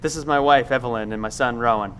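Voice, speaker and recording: An actor playing an anxious, protective middle-aged scientist leading survivors, speaking in an urgent, earnest, naturalistic audio drama style. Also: male voice, medium pitch, fast, a bit bright, a little hiss or hum, natural voice. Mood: composed, firm.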